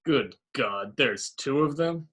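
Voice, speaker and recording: A gruff, skeptical superhero acted by a man, husky with a lively pitch. He sounds doubtful and blunt in a script read. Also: slow, heavily acted.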